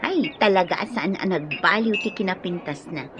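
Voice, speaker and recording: A woman's voice: fairly low pitch, normal speed, lightly acted. A woman playing a teasing, coaxing female friend who plays matchmaker in a radio drama, with a Filipino accent. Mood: teasing, amused. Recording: muffled, noisy radio drama recording.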